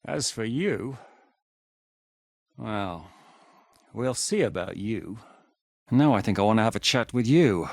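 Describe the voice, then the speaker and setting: Jan Žižka, a stern warlord. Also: male voice, on the low side, sing-song, takes it slowly, long pauses, dry recording, heavily acted. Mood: menacing. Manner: blunt, commanding, gruff counsel. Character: stern battle-hardened male military commander, middle-aged. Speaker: game character. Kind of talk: video game voice acting. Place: recording studio. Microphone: close studio mic.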